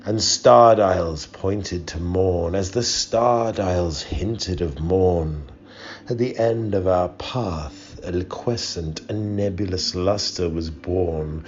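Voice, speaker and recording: Deep, slow man reciting poetry solemnly. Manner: solemn, theatrical, drawn-out delivery. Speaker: poetry reader. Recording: muffled, with background hiss.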